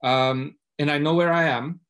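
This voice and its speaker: A man's voice: a little high, steady tone, moderate pace, a bit bright, clear, resonant tone. Man, hesitant questioner. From Poland. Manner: hesitant, many uhs and restarts. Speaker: audience member asking a question.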